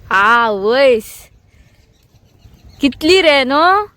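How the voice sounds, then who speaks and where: A woman's voice: sits high, sing-song, very slow, sharp tone, no room sound. A woman from Goa with a Goan accent, chatting casually as a vlogger. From Goa, India. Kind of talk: casual chat.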